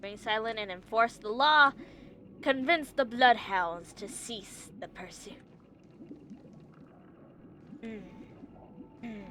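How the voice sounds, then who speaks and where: A woman's voice: on the high side, takes it slowly, pauses often, pushed and bright, slight background noise. A woman livestreaming a game, chatting casually with her viewers and musing aloud. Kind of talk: casual game stream chat.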